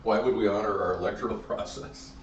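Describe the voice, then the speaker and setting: A man's voice: on the low side, fairly even intonation, a little fast, dull audio, slight background noise. A man hosting a casual live chat show, talking in a rallying, folksy way.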